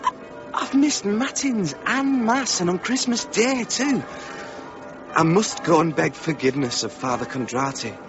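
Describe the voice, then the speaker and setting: A humble young blacksmith in love. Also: male voice, sits high, animated, unhurried, over music. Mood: pleading. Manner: earnest, pleading, soft. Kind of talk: radio drama acting. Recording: muffled lo-fi radio recording.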